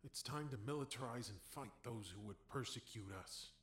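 An echoing man's voice over loud noise acted for an audio drama. He is a hateful militant, declaiming and goading. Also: medium pitch, moderate pace, lightly acted. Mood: zealous. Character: hateful, militant man goading with zealous slogans. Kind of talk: audio play acting. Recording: echoey, very noisy sound.

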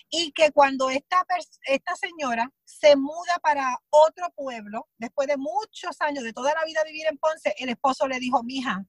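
Woman, Puerto Rican accent, high-pitched, animated storyteller, dry close recording. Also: no room sound. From Puerto Rico. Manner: animated storytelling, acts out her own thoughts in quotes. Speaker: actress, guest. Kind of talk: conversational interview.

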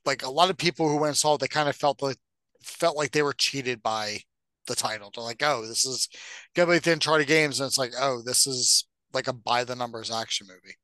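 A middle-aged man co-hosting a podcast, talking casually and haltingly, with some swearing. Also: mid-range voice, fairly even intonation, sharp tone, no room sound, low-quality recording. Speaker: podcast co-host. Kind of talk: casual discussion.

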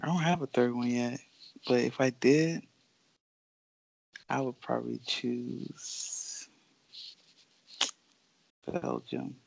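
A woman's voice: extremely low pitch, takes it slowly, creaky, pauses often, a little mellow, dry recording, poor audio. A young woman, a professional basketball player from the Bahamas who grew up in Florida, chatting casually and easygoing as a podcast guest. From Bahamas, raised in Florida. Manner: laid-back, easygoing, laughs often.

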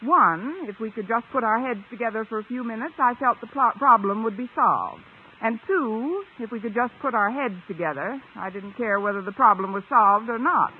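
An actress playing a sarcastic schoolteacher with a dry, arch delivery in a 1950 radio sitcom, on a thin, noisy old recording. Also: female voice, on the high side, normal speed, phone-quality sound, natural voice. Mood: wry narrating. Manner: dry, deadpan, crisply arch. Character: wry, sarcastic woman schoolteacher, quick with dry quips.

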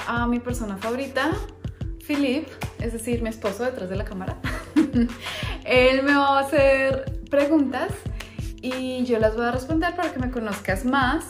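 A woman's voice: a little high, echoey room. A woman in her thirties hosting a podcast, chatting casually about herself with frequent hesitations and little laughs. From Colombia. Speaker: podcast host. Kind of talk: casual personal talk.

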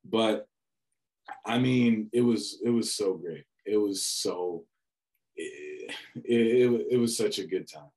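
A young man with a fairly low voice recounts a memorable broadcast in relaxed chat. He talks in an animated way and stumbles over restarts as the memories come back. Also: slow pace. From Dominican family background. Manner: animated storyteller with many restarts and fillers. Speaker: student radio sports broadcaster. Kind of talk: casual reflective chat.

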